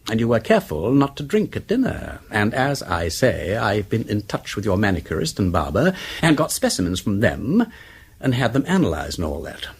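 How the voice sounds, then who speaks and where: An actor playing a flippant, witty English aristocrat detective in a radio drama, urbane and drawling, teasing with mock politeness. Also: male voice, on the low side, wildly swooping pitch, moderate pace, a little hiss or hum. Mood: breezy, pointed. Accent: upper-class English.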